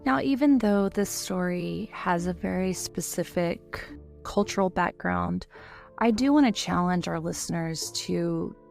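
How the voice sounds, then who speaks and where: Woman reading true crime for a podcast, slow and kinda gravelly, faint music under it. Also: lowish voice, a little mellow. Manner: slow, measured, somber reading. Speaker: podcast co-host. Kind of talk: scripted true-crime narration.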